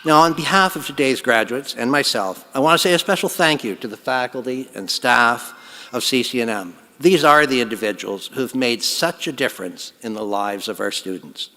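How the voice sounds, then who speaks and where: A man giving a formal, earnest convocation address at a podium, his phrases trailing off softly. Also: mid-range voice, normal speed, long reverb tail. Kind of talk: formal ceremonial speech. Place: large hall.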